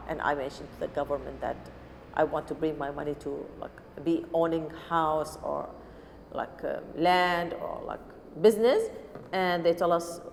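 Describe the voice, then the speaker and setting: A middle-aged woman from Syria, a cooking teacher telling her story in an interview, in earnest, halting English with restarts. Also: low voice, gravelly. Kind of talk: personal story told in an interview. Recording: echoey room with faint noise.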